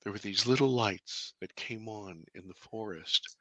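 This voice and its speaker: A deep-voiced man, a filmmaker guest in an interview, telling a personal story earnestly, with halting restarts and bursts of excitement. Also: no room sound. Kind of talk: conversational storytelling.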